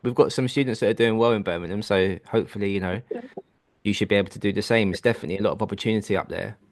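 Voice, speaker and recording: A man coaching clients on a video call, explaining steps in a relaxed, reassuring way. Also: on the low side, rapid-fire, no room sound. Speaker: property business coach.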